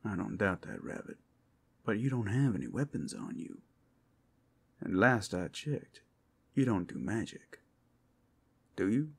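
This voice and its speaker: A man's voice: on the low side, sing-song, unhurried, gravelly, pauses often, husky, heavily acted. A man playing an easygoing, protective werewolf companion in an ASMR roleplay, talking in a casual, teasing way. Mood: hushed, teasing.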